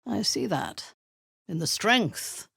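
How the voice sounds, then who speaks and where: A woman's voice: medium pitch, sing-song, slow pace, vocal fry, fairly bright tone, breathy edge, no room sound, clean studio sound, full character voice. An actress voicing a cruel, zealous female warlord in a video game, giving orders in a cold, commanding tone with hissed half-whispers. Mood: menacing.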